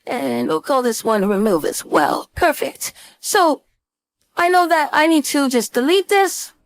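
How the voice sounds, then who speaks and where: A woman instructor giving a casual screen-share software tutorial, thinking aloud informally as she demonstrates. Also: high-pitched, animated, measured pace, vocal fry. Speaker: design instructor.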